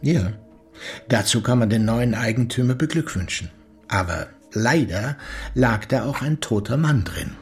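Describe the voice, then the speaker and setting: An actor playing a matter-of-fact police investigator in a radio play, questioning soberly. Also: male voice, medium pitch, expressive, a little slow, husky, faint music behind, heavily acted. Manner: sober, probing. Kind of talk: radio drama acting.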